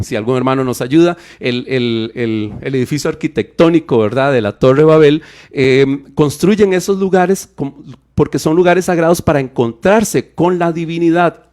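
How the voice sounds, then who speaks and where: A Costa Rican man teaches Bible history on a radio show, in a voice of ordinary pitch. He wanders through asides, restarts his sentences and hunts for words as he goes. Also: normal speed. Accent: Costa Rican Spanish. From Costa Rica. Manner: lively, digressive, with many restarts and fillers. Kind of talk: explanatory radio Bible talk. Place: radio studio.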